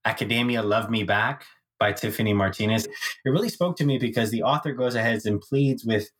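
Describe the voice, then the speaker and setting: A man's voice: on the low side, brisk, fairly bright tone, breathy edge. A man hosting a casual conversation, chatty, asking follow-up questions. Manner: friendly, conversational, asks follow-ups. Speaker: host.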